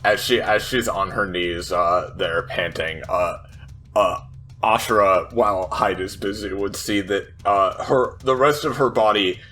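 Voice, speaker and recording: A man playing a tabletop game, casually narrating what a character does in halting, hesitant phrases. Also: lowish voice, pushed and bright.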